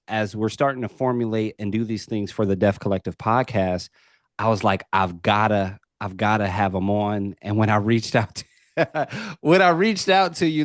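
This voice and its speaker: A male podcast host with a lowish voice opens the conversation with eager, repetitive enthusiasm. He fills his talk with casual asides and repeated words, and the recording is dry and close. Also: no echo. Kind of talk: casual interview.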